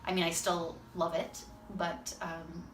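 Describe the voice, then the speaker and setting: A woman's voice: lowish voice, level tone, unhurried. A woman from Michigan giving wry, slightly jaded interview answers. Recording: echoey, distant mic with background noise.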